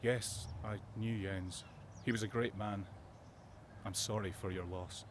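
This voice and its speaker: Low, sombre British soldier, played by a man. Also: fairly even intonation, a little slow, noisy background, lightly acted. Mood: gentle, respectful. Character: wounded British First World War soldier, weary and sombre. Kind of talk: student radio drama acting and reflective narration.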